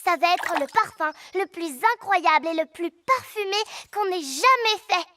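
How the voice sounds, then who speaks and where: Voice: very high-pitched, animated, normal speed, sharp tone, exaggerated voice. A performer voicing a chatty, curious little pig girl in a children's cartoon, with eager, childlike questioning. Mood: eager, bossy.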